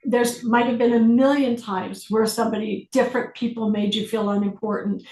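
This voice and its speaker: A woman facilitating an online group session, guiding people through questions in a calm, slow, deliberate way. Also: a little high, echoey room, low-quality recording. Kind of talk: guided inquiry on a group video call.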